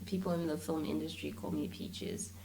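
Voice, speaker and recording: A woman with a South African accent and a slightly low voice. She chats informally and in an animated way as a guest in a relaxed interview, switching between languages. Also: normal speed, gravelly, rough recording. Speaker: interview guest, filmmaker and content creator. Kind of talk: casual conversational interview, code-switching.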